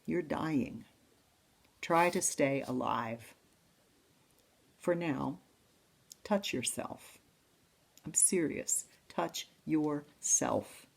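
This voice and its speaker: Low, gravelly-voiced woman preaching. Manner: slow, reflective, intimate reading voice. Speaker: pastor. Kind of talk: sermon with poetry readings. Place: church service, streamed online.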